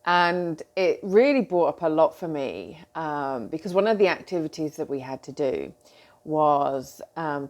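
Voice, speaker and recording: A middle-aged British woman talking casually to her followers as a coach, chatty and animated, with frequent ums and asides. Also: sits low. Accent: British English. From Britain. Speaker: coach and host. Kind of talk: casual talk to camera.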